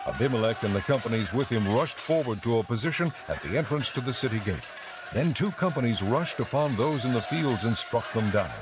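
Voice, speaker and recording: A man narrating a dramatized audio Bible in a measured, clearly enunciated storytelling style. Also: mid-range voice, expressive, background noise.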